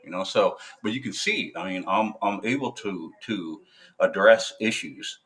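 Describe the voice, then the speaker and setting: A veteran man talking to camera in a casual monologue, halting and hesitant, full of filler sounds and restarts, blunt and profane. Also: low voice, a bit bright. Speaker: military veteran.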